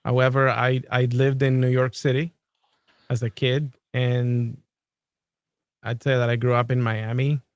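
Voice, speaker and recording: A man from Colombia speaks in an unhurried, thoughtful way in a casual podcast chat, his voice at an ordinary middle pitch. The sound is dry and close, with no room around it. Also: flat delivery, no echo. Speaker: podcast host. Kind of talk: casual conversation.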